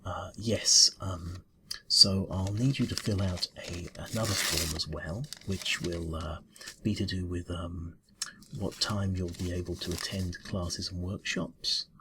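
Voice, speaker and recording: A man's voice: deep voice, fairly even intonation, a little slow, background noise. A man voicing a polite, fussy, slightly ruffled bureaucrat cat in an ASMR interview roleplay, mumbling hesitantly and formally. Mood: officious, halting.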